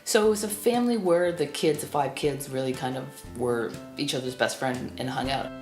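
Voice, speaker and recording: A woman in her fifties from Canada, whose first language was Estonian, recalling her life in a reflective, halting way with many restarts. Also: rumbling low, music in the background. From Burlington, Ontario, Canada. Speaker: film critic guest. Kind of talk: reflective personal storytelling. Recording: echoey room.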